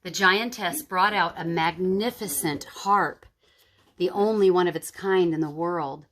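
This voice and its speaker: A woman storyteller reading a picture book aloud to children in an expressive, dramatic and emphatic way. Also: on the low side, a little slow.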